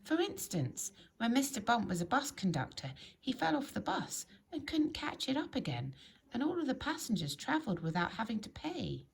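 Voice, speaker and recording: A woman reads a children's story aloud in an expressive, emphatic storytelling manner. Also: fairly high pitch, normal speed, creaky. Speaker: storybook reader.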